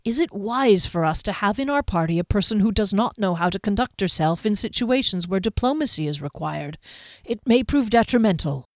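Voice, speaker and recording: A woman's voice: mid-range voice, expressive, a little fast, dry recording, studio quality, natural voice. A woman voicing an earnest young man in an audiobook, courteous, stiff and worried in manner. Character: earnest, formal, anxious young man with doubts.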